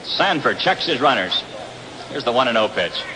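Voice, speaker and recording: A male baseball announcer with an American accent and a medium-pitched voice gives live play-by-play. He is heard over a 1960s radio broadcast from an outdoor stadium, with distinct ambient noise running behind the commentary. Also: very animated, moderate pace. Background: loud ambient ballpark noise.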